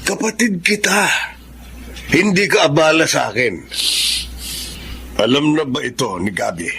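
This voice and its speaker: A Filipino actor playing a caring older brother who gently scolds and counsels in a radio drama. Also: male voice, a little high, expressive, measured pace, gravelly, a little hiss or hum, exaggerated voice. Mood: concerned, chiding.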